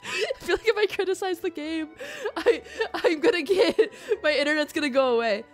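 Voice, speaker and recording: A woman livestreamer chatting casually over a game, playful, expressive and rambling to the viewers. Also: very high-pitched, normal speed. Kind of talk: casual game stream commentary. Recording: game music behind the voice.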